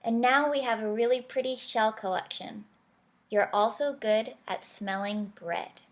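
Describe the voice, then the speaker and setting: A woman voicing a wise, kind male otter who gently reassures his friend. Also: on the high side, unhurried, close to an everyday voice. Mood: reassuring.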